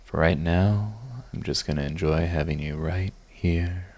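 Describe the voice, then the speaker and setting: Casting: man to act lovestruck villain for romantic audio roleplay; deep register, tender under the pride, confessional, easily flustered. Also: a little slow, darkish tone. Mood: tender, relieved.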